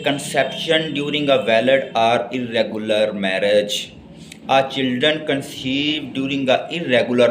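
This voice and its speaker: A man with a Pakistani accent giving a didactic law lecture, reading out and explaining the material. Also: medium pitch. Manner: didactic, switching between slowly read English and explanation in Urdu. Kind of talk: lecture, reading legal text aloud and explaining it. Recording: echoey room with faint background noise.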